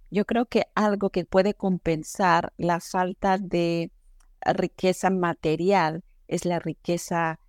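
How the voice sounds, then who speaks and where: A woman's voice: on the low side, a little mellow, no room sound, studio quality. A woman from Peru hosting a podcast interview, chatty and welcoming as she introduces her guest and asks questions. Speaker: podcast host.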